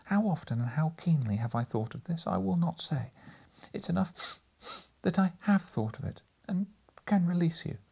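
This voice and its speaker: Man voicing a soft, breathy, sorrowful young woman. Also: fairly high pitch, lively intonation, normal speed, voice pushed up, exaggerated voice. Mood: grieving reproach. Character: gentle, sorrowful young woman releasing her betrothed. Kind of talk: dramatic audiobook character reading.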